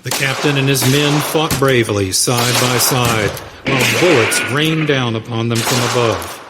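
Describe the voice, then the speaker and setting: A man narrating a scripted western radio drama in a dramatic, deliberate way. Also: medium pitch. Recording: very noisy, effects behind voice.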